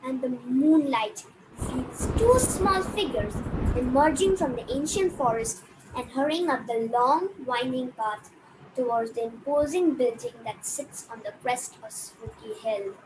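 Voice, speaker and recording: Female audio drama narrator, very high register, reading scripted scenes, noticeable noise behind. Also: animated, a little slow. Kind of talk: scripted radio play narration. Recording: clear ambient noise behind the voice.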